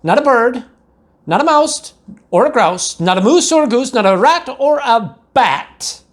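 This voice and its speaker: A man's voice: very high voice, expressive, measured pace, pitched above the performer's own voice. A man voicing an exasperated mother Siamese cat in a storybook, stern and emphatic as she lectures. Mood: lecturing.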